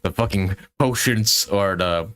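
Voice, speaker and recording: A man's voice: fairly low pitch, unhurried, a bit bright, slight background noise. A man casually commentating on his live gameplay in a jokey, sweary way. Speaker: game streamer.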